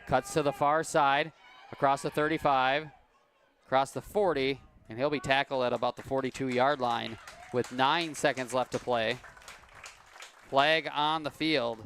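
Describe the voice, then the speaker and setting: A man calling a high school football game at an easy, unhurried pace. His voice sounds a little worn and cracks now and then. He is mid-pitched and relaxed behind the mic. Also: a little hiss or hum. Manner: calm, unhurried play calling with easy laughs. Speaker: play-by-play announcer.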